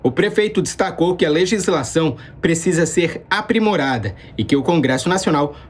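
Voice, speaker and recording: A man in his thirties reads a news report in a measured, formal newsreader manner. His voice sits a little high for a man. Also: animated.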